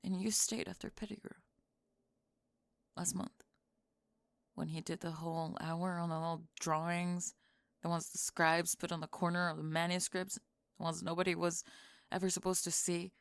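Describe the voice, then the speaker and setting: A young woman playing a sharp-tongued, defensive goth college student who is secretly smitten, in an ASMR roleplay, speaking in halting, clipped, emphatic phrases. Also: lowish voice, level tone, gravelly, no room sound. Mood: earnest, confessing. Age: young adult. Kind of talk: ASMR roleplay monologue, intimate audio drama.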